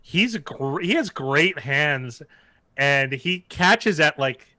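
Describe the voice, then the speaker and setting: Male sports stream co-host, forward bright tone, dry sound, loose rambling casual delivery. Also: on the high side, lively intonation, takes it slowly, no echo. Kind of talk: casual sports watch-along chat.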